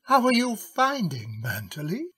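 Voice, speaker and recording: Husky, slow-spoken courtly gentleman lawyer. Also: sits high, huge pitch swings, full character voice. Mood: courteous, warm. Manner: courteous, hesitant, deliberate. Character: courtly, mild middle-aged gentleman lawyer, cautious. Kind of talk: old-time radio drama acting.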